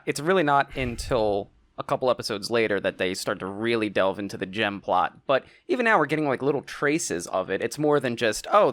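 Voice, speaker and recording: A young adult man co-hosting a casual podcast, teasing his partner with deadpan jokes. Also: fairly low pitch, dry recording. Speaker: podcast co-host. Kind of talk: casual chat.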